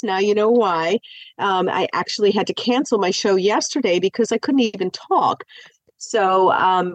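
A woman's voice: mid-range voice, dry recording. A woman hosting a live radio show, sounding stuffy from the flu, talking in an upbeat, chatty way. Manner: bubbly, upbeat, chatty with fillers. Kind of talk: chatty live radio talk on home improvement. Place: radio studio.

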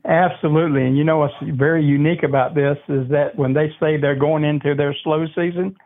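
Older man, radio guest, earnest and warm, slightly high, narrow phone-line sound. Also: steady tone, brisk. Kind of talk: conversational radio interview.

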